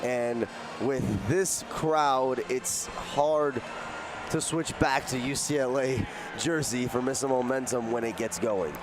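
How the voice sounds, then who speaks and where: Mid-pitched man, conversational basketball commentary, analyst role, noisy gym background. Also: takes it slowly. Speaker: color commentator. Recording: noisy basketball arena ambience. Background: arena noise, bouncing basketballs.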